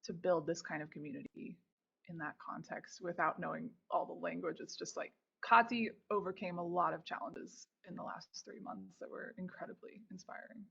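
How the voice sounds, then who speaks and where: A woman hosts a group video call in a casual, encouraging style. Her voice sits a bit low with noticeable vocal fry, and the audio is slightly dull. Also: fairly even intonation, normal speed, bright, forceful tone. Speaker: host.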